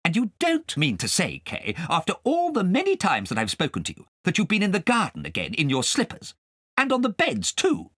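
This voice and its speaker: Man putting on a shrill-pitched nagging governess. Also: huge pitch swings, fairly quick, no room sound, studio quality, full character voice. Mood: cross, scolding. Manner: sharp, nagging, fussy. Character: strict, cross governess, scolding adult woman.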